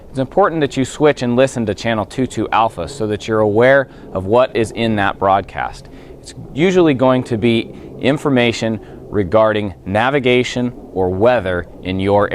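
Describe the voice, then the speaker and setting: A man speaking as a Coast Guard officer, explaining safety procedures to boaters in a plain-spoken, official manner. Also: on the low side. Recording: clear background noise, ambient sound.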